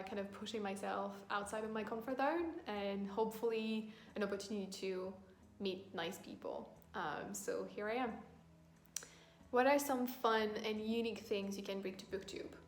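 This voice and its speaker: A middle-aged woman from France with a French accent, chatting thoughtfully through a book vlog with many pauses and restarts. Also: mid-range voice. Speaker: book vlogger. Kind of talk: casual tag-video chat. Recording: echoey room with faint music.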